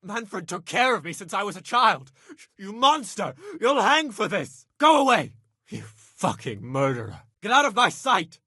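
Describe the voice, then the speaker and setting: A man's voice: high-pitched, sing-song, a little slow, bright, forceful tone, breathy edge, no echo, studio quality, full character voice. A man playing a lusty, self-pitying young nobleman in a video game, complaining dramatically in coarse, colloquial speech. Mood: outraged, grieving. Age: young adult. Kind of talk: video game character dialogue.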